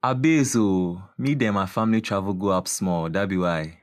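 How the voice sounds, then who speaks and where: A man's voice: deep voice, normal speed, close to an everyday voice. A man with a Ghanaian accent playing a breezy, boastful rich young friend in an audio drama, talking in a jokey, mocking way. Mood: cheerful, boastful.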